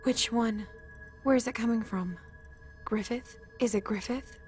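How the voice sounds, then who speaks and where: A woman's voice: fairly high pitch, unhurried, a little mellow, faint music behind, lightly acted. A woman playing a worried, caring young female team member in a dramatised audiobook. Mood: alarmed.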